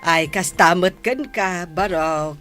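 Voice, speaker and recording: Doting radio-drama mother, Ilocano accent; unhurried, slightly low voice over faint music. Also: a bit bright. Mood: welcoming. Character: doting, teasing mother of grown daughters.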